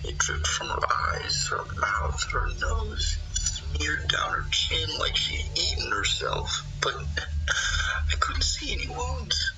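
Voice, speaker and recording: A man playing a haunted fire lookout in a radio horror drama, recounting events in a halting, breathy, confessional monologue. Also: huge pitch swings, over loud music, exaggerated voice, poor audio. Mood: shaken, horrified. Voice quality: low, gravelly, breathy. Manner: halting, breathy, half-whispered. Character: haunted male fire lookout recounting his wife's eerie death.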